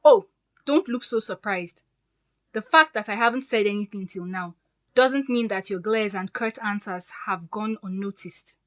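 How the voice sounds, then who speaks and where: A woman's voice: medium pitch, normal speed, lightly acted. A woman acting in an audio drama as a poised, resolute fiancée who speaks with pointed, sarcastic politeness. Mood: stern, threatening.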